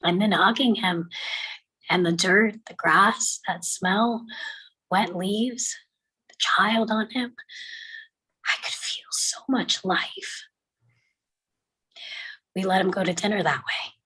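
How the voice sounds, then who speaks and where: Grieving mother for a drama read, played by a woman: slow, husky, halting, tender yet anguished. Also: medium pitch, pushed and bright, exaggerated voice, rough recording. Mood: wistful, animated. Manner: halting, emotional, drops into whispers. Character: grieving mother recalling her young son, anguished but tender. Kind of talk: dramatic table read of a screenplay.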